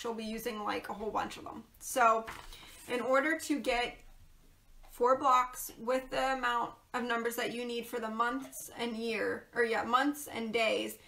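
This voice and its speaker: A woman's voice: on the high side, vocal fry, sharp tone, slight background noise. A woman who is losing her voice, chatting casually and rambling with lots of ums and laughs while hosting a crafting video. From Pennsylvania, USA. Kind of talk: casual crafting livestream chat.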